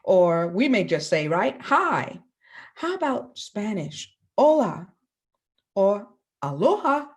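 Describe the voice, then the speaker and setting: Slow-spoken Bahamian-born woman teacher. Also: mid-range voice, big pitch swings. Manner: slow, questioning, pauses for class answers. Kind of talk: classroom lesson to children.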